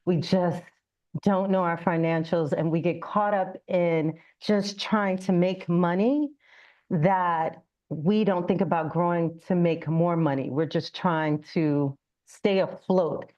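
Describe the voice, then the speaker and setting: A woman's voice: fairly low pitch, fairly even intonation, slow pace, muffled recording. A woman giving an informal business presentation as a guest speaker, conversational, using rhetorical questions and acted-out examples.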